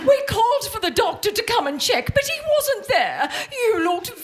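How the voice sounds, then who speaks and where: A woman's voice: very high voice, sing-song, normal speed, a little hiss or hum. A woman playing a bossy, prim, grasping grown daughter in a stage radio comedy, haughty and scolding. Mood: defensive, dramatic. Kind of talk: stage radio-play acting in domestic comedy. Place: theatre stage before an audience.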